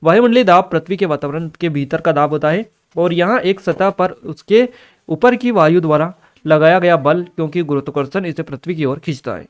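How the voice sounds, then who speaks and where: A man's voice: fairly high pitch, a little fast. A man giving an exam-prep lesson, reading out questions and explaining the answers in an instructional way, checking in with listeners. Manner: instructional, reads notes aloud, checks in with listeners.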